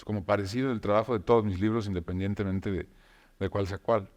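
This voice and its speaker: A man, a novelist, talking reflectively about writing, with frequent pauses and restarts. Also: on the low side, steady tone, darkish tone. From Mexico. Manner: reflective, many filler hesitations and restarts. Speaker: novelist guest. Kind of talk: conversational talk show discussion.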